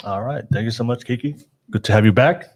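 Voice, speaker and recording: A man's voice: mid-range voice, fast. A man hosting a casual podcast panel, upbeat and chatty, calling out to his live chat. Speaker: podcast host. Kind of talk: casual hosted panel talk.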